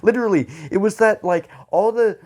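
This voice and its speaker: Higher-voiced man chatting and joking. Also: darkish tone. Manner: rambling, halting delivery with frequent laughter. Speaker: podcast co-host. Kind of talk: casual comedic banter.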